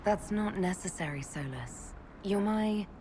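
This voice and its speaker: An actress playing an earnest, resolute elven heroine in a video game, speaking in a sincere, direct manner. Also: female voice, mid-range voice, expressive, unhurried, noisy background, natural voice. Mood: tender. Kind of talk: dramatic video game dialogue.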